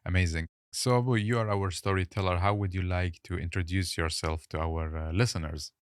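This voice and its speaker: Very deep-voiced male podcast host. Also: steady tone, brisk, clear, resonant tone, dry recording, studio quality. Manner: casual, uses "like" and "amazing" often. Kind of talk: podcast interview.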